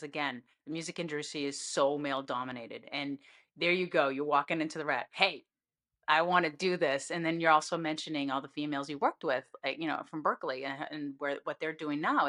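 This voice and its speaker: A woman's voice: low voice, fairly quick, fairly bright tone, no echo, clean studio sound. A woman hosting a podcast, chatting casually with lots of fillers. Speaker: podcast host. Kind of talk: casual chat.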